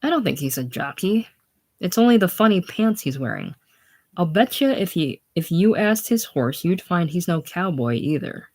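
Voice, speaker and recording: A reader voicing a gruff old farmer who mocks and jeers loudly. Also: mid-range voice, expressive, moderate pace, close to an everyday voice. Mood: mocking. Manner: loud, teasing, contemptuous. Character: gruff old Irish farmer, mocking and jeering.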